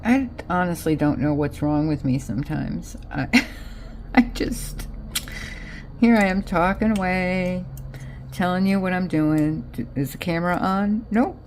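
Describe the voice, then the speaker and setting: A woman's voice: lowish voice, a little slow, darkish tone, slight background noise. A woman with an American accent chatting casually as she narrates a craft project, rambling and thinking aloud, often trailing off. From Israel, raised in Connecticut, US. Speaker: craft vlogger.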